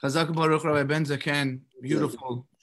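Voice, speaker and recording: A middle-aged American man from Los Angeles giving a prepared personal address as a rabbi, in a measured, slightly halting way on a video call. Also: a little high, steady tone, a bit bright. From Los Angeles, United States. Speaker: rabbi, guest speaker. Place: indoors in a book-lined study, on a video call. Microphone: computer mic on a video call.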